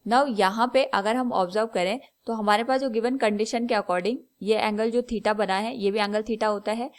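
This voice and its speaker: A woman with an Indian accent giving a step-by-step lesson as a physics teacher, instructional and measured when stating things. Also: mid-range voice, fairly even intonation. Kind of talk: step-by-step lesson explanation, switching between Hindi and English.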